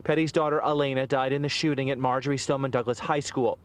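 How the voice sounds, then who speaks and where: A man with an American accent reading a scripted news report in a measured, serious broadcast delivery. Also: mid-range voice, steady tone.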